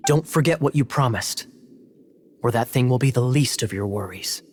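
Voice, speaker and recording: A man voicing a suave, teasing young knight captain in a video game, speaking smoothly and playfully. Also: medium pitch, animated, normal speed, a little mellow, a little hiss or hum, lightly acted. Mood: wry. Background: game music.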